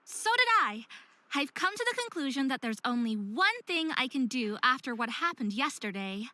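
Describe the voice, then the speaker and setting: Casting: a desperate, earnest young half-faerie for a narrative game; high-pitched, dry close sound. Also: female voice, very animated, moderate pace, sharp tone, no room sound, heavily acted. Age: young adult. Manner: earnest, emotional delivery. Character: troubled young hybrid faerie woman, self-hating, desperate, earnest. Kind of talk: visual novel voice acting.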